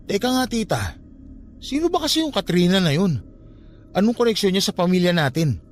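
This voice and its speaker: A man's voice: high-pitched, big pitch swings, brisk. An actor playing a defensive, curious young nephew in a radio drama, protesting and questioning his aunt. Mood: curious disbelief.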